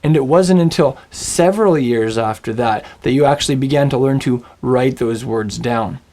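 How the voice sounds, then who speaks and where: A man with a mid-pitched voice teaches in a scripted, storytelling way. He speaks slowly and deliberately, and he lets dramatic pauses hang between phrases. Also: darkish tone. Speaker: Biblical Hebrew teacher.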